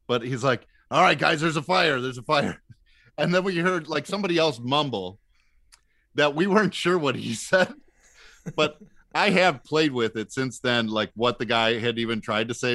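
A man's voice: medium pitch, moderate pace, no room sound, studio quality. A man telling comic stories casually as a podcast guest, often laughing as he talks. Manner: laughs often while talking, acts out shouted quotes. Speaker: comedian guest on a podcast, works in elevators.

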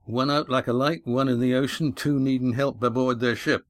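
A man's voice: medium pitch, steady tone, normal speed, lightly acted. A man voicing a young village lad who answers in a plain, matter-of-fact way.

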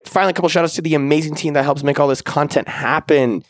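A man hosting a podcast, upbeat and slangy, with a slightly raspy voice. He chats casually and closes the show with shout-outs. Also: fairly high pitch, steady tone, fast talker, dry recording, clean studio sound. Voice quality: a little raspy. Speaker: podcast host. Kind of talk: casual podcast chat and outro.

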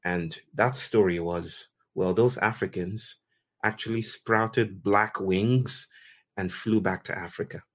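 A man from Nigeria hosting a conversation, telling a story in slow, deliberate, poetic phrases with pauses. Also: on the low side, steady tone, fairly bright tone. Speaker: host. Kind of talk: reflective storytelling talk.

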